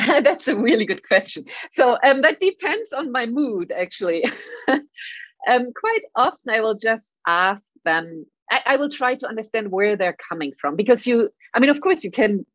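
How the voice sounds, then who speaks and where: A woman's voice: fairly high pitch, lively intonation, moderate pace, dry recording, highs cut off. A middle-aged woman with a German accent, answering interview questions with frequent stammered repeats and light laughs. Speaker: guest, climate scientist.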